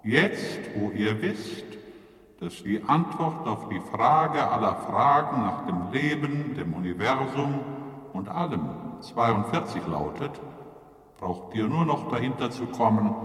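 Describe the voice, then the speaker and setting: Solemn giant computer for radio play, self-important, really slow, big echo. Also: male voice, on the low side, animated, fairly bright tone. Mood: solemn, explaining. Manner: solemn, oratorical, deliberate. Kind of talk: German radio play acting. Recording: long hall reverb, distant voice.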